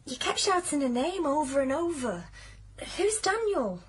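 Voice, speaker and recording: An actress playing an earnest, insistent, worried friend in a radio drama. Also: female voice, high-pitched, very animated, moderate pace. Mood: concerned, firm. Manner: urgent, concerned, insistent. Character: earnest, worried female friend, firm and caring.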